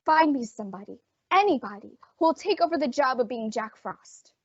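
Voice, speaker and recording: A woman's voice: high voice, expressive, brisk, slightly rough, dry recording. A woman playing an earnest, frazzled department store advertising executive in an old-time radio drama. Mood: exasperated, pleading. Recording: dry, dull lo-fi audio.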